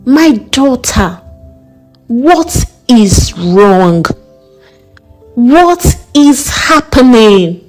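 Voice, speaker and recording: A warm mother from a folktale, put on by a woman narrator. She is reassuring and frank, coaxing in a high, very animated voice. She speaks slowly over faint music. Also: exaggerated voice. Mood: concerned, soothing. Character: warm, reassuring, direct middle-aged Nigerian mother.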